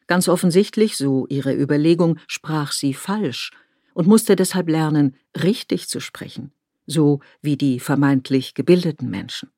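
A woman from Tyrol, narrating a documentary feature in a measured, reflective way. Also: deep voice, mellow, no echo, studio quality. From Tyrol, Austria.

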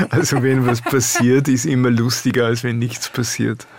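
A man's voice: medium pitch, fairly even intonation, unhurried, breathy edge. A middle-aged Austrian man from the Alps, an author being interviewed, talking in a dry, laconic way and chuckling often. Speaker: author guest. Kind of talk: relaxed interview talk.

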